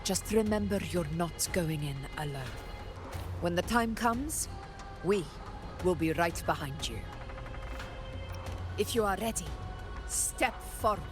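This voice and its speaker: An actress playing a solemn, supportive noble ally in a video game, giving earnest, formal encouragement. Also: female voice, on the low side, lively intonation, takes it slowly, pauses often, over music. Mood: earnest, encouraging. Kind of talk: dramatic video game acting.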